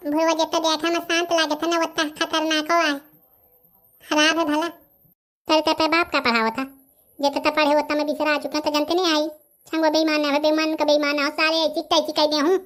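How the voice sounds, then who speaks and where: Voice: high-pitched, sharp tone. An actor with a Bundelkhandi accent voicing a chatty, stubborn young villager in a comedy cartoon.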